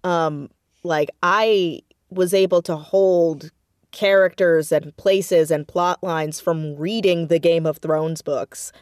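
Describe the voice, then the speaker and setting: A woman with a slightly low voice speaks as an interview guest. She is expressive and effusive, telling things in a conversational way. The sound is very dry and close, with no room around it. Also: takes it slowly, dry recording. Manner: expressive, effusive, acts out quoted dialogue. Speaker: interview guest, audio drama creator. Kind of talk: animated conversational interview, storytelling with acted quotes.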